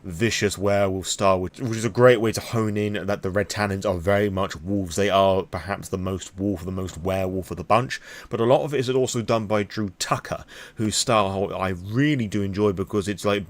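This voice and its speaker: A British man giving an impromptu, opinionated vlog monologue in a rambling, frank manner. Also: lowish voice. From Britain. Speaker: vlogger on tabletop roleplaying lore.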